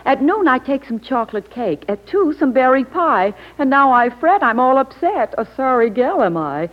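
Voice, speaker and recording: A woman's voice: high-pitched, very animated, moderate pace, muffled recording. A woman playing a cute young radio actress who complains in singsong rhyme, with an American accent, in an old 1940s radio ad. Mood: playfully woeful. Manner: singsong rhyming delivery.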